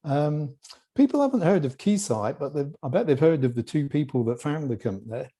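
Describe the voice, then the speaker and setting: A man from the UK with a British accent, a company executive answering interview questions in a relaxed, chatty way over a video call, with joking asides. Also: on the high side, fairly quick. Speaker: guest executive.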